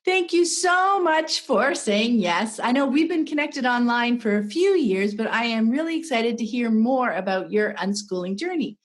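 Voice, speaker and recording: Lively, high-voiced woman podcast host. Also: normal speed, hardly any pauses. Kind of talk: podcast interview.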